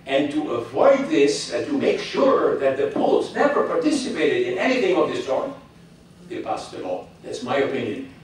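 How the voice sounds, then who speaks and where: Older man speaking haltingly in a hall. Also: mid-range voice, huge pitch swings, slight background noise. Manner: halting, many restarts, opinionated. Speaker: guest speaker. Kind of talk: public talk with audience questions. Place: hall with audience. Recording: echoey hall from far off.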